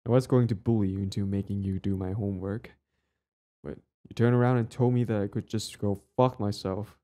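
A man's voice: fairly low pitch, fairly even intonation, fairly quick, mellow, natural voice. A young man acting a possessive, lovestruck bully in an intimate boyfriend audio roleplay, speaking earnestly with hesitant stammers. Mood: tender, confessing.

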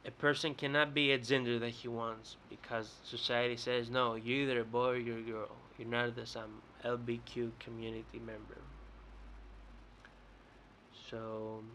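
A man's voice: mid-range voice, little pitch movement, normal speed, hardly any pauses, sharp tone, slight background noise, low-quality recording. Young Greek-accented man ranting to webcam. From Greece. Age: young adult. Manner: rambling, repeats and restarts words. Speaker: vlogger. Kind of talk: casual rant to camera. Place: bright indoor room. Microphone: webcam close up.

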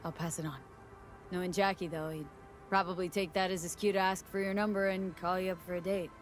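Low-voiced streetwise female mercenary, acted by a woman. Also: little pitch movement, brisk, faint music behind, close to an everyday voice. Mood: subdued, awkward. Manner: casual, slangy, wry. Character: tough, streetwise young female mercenary, wry and guarded. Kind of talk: naturalistic video game voice acting.

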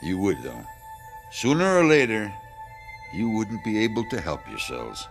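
Magical janitor, radio drama, deep-leaning swooping voice, knowing, softly threatening, music behind. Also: male voice, huge pitch swings, a little slow, vocal fry, heavily acted. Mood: calm menace. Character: secretly magical janitor, controlled, quietly menacing older soul.

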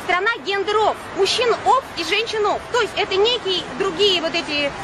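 A woman in her forties, from Ukraine and raised in Russia, pleads her case at an outdoor picket in a high, insistent voice. She keeps pausing on long "uh" fillers. Traffic and street noise run loudly underneath. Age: 40s. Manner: urgent, insistent, pausing often on drawn-out "uh" fillers. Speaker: protester at a picket. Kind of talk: impassioned street speech.

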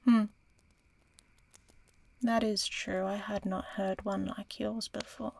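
Casting: woman to play an ancient female dragon for fantasy audio roleplay; unhurried, gravelly half-whisper, aloof yet teasing and curious. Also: medium pitch, darkish tone, a little hiss or hum. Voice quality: breathy, often whispering. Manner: slow, measured, musing.

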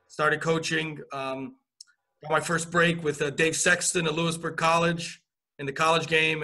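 Bright-voiced man on a video call. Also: fairly high pitch. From Romania. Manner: relaxed storytelling with many uh fillers. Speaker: college women's soccer coach. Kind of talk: video call interview answers. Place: indoor office.

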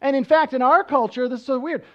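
A man's voice: very high voice, fast, dull audio. A man in his thirties, a pastor giving a sermon on a church stage, teaching in a conversational way and often checking in with "right?". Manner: walks around the stage gesturing. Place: church stage with band instruments.

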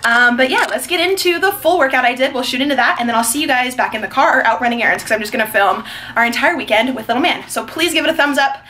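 Fast, high-pitched American vlogger woman. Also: sharp tone. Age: young adult. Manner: effusive, slangy. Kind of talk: casual chatty vlog. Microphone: camera at arm's length. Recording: faint background noise.

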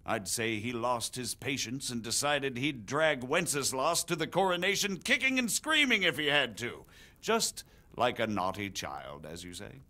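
A man's voice: medium pitch, animated, moderate pace, sharp tone. A grave, persuasive nobleman. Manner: earnest, explanatory, formal. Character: grave middle-aged male nobleman, measured and persuasive. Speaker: visiting margrave explaining politics. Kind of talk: video game cutscene dialogue.

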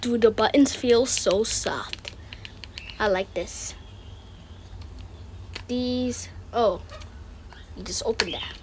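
High-pitched woman casually narrating an unboxing. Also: slow, long pauses. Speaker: host of an unboxing video. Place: indoors, room with wooden floor. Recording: clicks and handling noise.

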